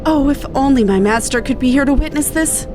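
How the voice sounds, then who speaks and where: A woman's voice: high voice, a little fast, close to an everyday voice. Woman reading a proud, formal scholar. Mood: awed joy. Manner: formal, precise, lofty. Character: bookish, proud, earnest scholarly researcher. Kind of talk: game dialogue read-aloud. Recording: music behind the voice.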